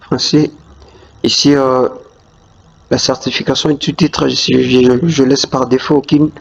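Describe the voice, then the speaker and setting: A man's voice: medium pitch, little pitch movement, a little slow, gravelly, slightly rough. A man hosting a screen-recorded tutorial walkthrough, talking hesitantly with many fillers and restarts. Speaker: YouTube tutorial host. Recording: dull lo-fi voice-over.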